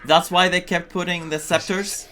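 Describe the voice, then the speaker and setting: A man's voice: sits high, little pitch movement, moderate pace, pushed and bright. A man who streams games, giving live reaction commentary in short, dramatic interjections. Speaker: gaming streamer.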